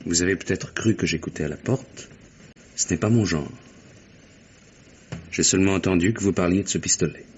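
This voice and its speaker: Man acting low-voiced, self-assured private secretary. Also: moderate pace, long pauses, highs cut off, slight background noise, lightly acted. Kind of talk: mid-century French radio mystery acting.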